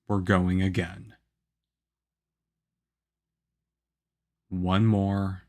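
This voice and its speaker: Male actor as aloof young swordsman mentor for ASMR roleplay: stern, flat, unhurried delivery, measured and harsh. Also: low-pitched. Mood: stern, scolding. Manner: harsh, measured, deadpan, with grudging praise. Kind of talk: ASMR roleplay acting addressed to the listener.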